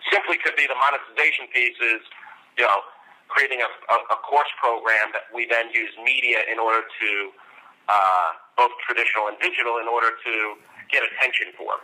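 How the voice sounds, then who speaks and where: A man speaks over a thin telephone conference line with a very sharp, forceful tone. He coaches on business in long, emphatic stretches, pitching his ideas persuasively at a mid-range pitch. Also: a little fast, low-quality recording. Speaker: media marketing consultant.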